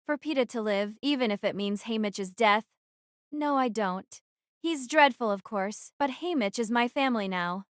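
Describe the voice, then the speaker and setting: A woman's voice: fairly high pitch, animated, moderate pace, clean tone, no room sound, clean studio sound, natural voice. A woman acting in an audio drama as a troubled, defiant young survivor who narrates her own thoughts in a dramatic, introspective way. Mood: guilty, shaken.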